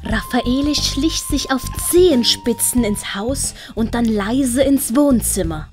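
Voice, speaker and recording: High-voiced woman storyteller over music. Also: lively intonation, slow pace, darkish tone. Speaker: narrator. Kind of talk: scripted storytelling narration. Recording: music under the narration. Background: prominent plucked-string music.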